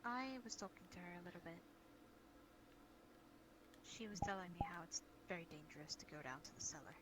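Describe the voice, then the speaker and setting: Woman, lowish voice, improvised fantasy roleplay, noticeable background noise from a voice chat. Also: lively intonation, a little fast. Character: protective female pack leader in a fantasy world. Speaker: roleplay participant. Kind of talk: improvised in-character roleplay dialogue. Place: virtual reality voice chat. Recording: noisy online voice chat, background ambience.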